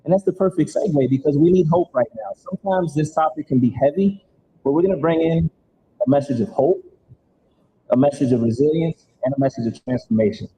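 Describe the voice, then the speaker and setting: A man's voice: brisk, warm tone, poor audio. A man hosting a live community event in a motivational style, encouraging and directing the people listening. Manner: encouraging, directive, rallies audience to respond. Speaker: event host. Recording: live recording with faint rumbling noise.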